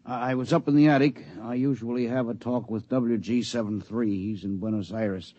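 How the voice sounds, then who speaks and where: Nervous, plainspoken male ham operator actor, soft mellow tone, 1950s radio drama, dry lo-fi recording. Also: medium pitch, steady tone, normal speed, no echo, close to an everyday voice. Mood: nervous, earnest. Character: earnest, slightly nervous amateur radio hobbyist witness. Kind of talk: 1950s radio drama acting, as taped interview.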